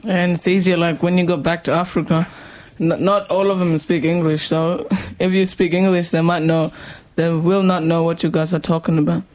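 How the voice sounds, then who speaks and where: A young man co-hosting a youth radio show, first language Dinka, chatting in a relaxed, giggly way. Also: on the high side, normal speed, few pauses. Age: young adult. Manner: relaxed, giggly, loose talk. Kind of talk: casual radio chat. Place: community radio studio.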